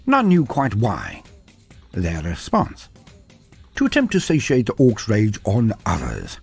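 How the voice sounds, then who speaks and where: A man's voice: medium pitch, a little mellow, husky. A man giving scripted dramatic narration of fantasy war lore in a theatrical, wry storybook manner.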